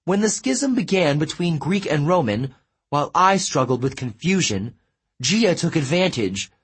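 A man's voice: a little high, big pitch swings, moderate pace, slightly rough, voice pushed up. A man voicing a vain, melodramatic male sun god who wails and pities himself. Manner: wailing, theatrical and self-pitying.

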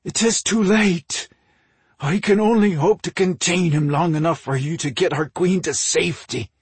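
A man voicing a tormented king enslaved by dark magic, trembling and strained, in a fantasy audiobook. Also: high-pitched, normal speed, slightly rough, dry recording, pitched above the performer's own voice, heavily acted. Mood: agonized, desperate.

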